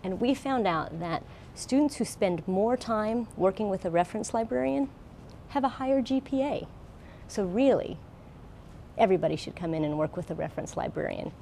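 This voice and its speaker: A woman's voice: mid-range voice, lively intonation, moderate pace, vocal fry, mellow, a little hiss or hum. A woman speaking as a college librarian, giving an informative interview talk.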